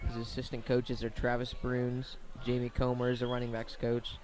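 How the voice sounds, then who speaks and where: A man doing live radio play-by-play of a high school football game in short, plain, measured phrases. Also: monotone, a little mellow, rough recording. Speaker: play-by-play sports commentator.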